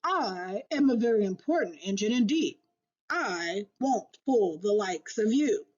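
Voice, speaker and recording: A woman's voice: mid-range voice, expressive, unhurried, a little mellow, lo-fi sound. A woman voicing a big, strong, self-important freight train engine in a storybook, bellowing and pompous. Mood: pompous, dismissive.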